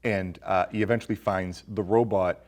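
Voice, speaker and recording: A man's voice: deep voice, fairly even intonation, normal speed, darkish tone. A man hosting a casual film discussion, with an incredulous, questioning way of bantering. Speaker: film podcast host.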